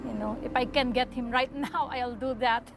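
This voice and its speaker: A woman from the Philippines, speaking emotionally and haltingly in a personal interview. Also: fairly high pitch, fairly even intonation, gravelly, fairly bright tone, a little hiss or hum. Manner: emotional, halting, repeats words. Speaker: interviewee, mother.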